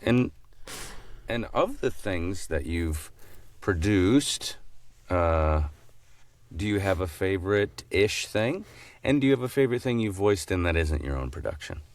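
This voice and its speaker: A man co-hosting a podcast in casual chat with a deadpan, teasing manner. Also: sits low, measured pace, dry recording. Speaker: podcast co-host.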